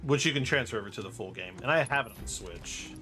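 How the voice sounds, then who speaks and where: A man livestreaming a game, talking casually at a middle pitch with game music running behind him. He is playful and loose, happy to slide into silly rhythmic chanting between bits of commentary. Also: lively intonation, fast. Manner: playful, breaks into goofy rap-style chanting and sound syllables. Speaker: video game livestreamer narrating a speedrun. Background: game music and sound effects.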